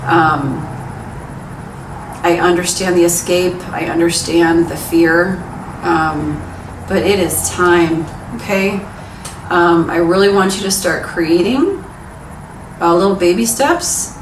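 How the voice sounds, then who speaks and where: A woman's voice: fairly low pitch, slow, pauses often. Chatty woman doing a tarot reading, roomy echo. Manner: chatty, frank, encouraging, with fillers and swearing. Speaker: tarot reader. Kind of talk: casual pick-a-card tarot reading. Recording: echoey room, mic some distance away, background noise.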